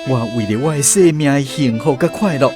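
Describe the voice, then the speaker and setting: A man's voice: medium pitch, fairly quick, over music, close to an everyday voice. An actor playing a weak, weary lost man searching for peace, earnest and pleading, in a Taiwanese radio drama. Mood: eager, naive.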